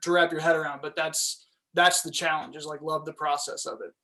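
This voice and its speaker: Guy, pretty high voice, casual pep talk on a panel call, emphatic. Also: level tone, brisk, sharp tone. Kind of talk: casual motivational career advice. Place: indoors, video call.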